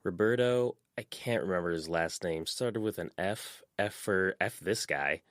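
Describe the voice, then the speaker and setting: A man voicing a talkative, sharp-tongued teenage girl who tells stories bluntly. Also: deep voice, a little slow, no room sound, studio quality. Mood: gossipy. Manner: chatty, blunt storytelling.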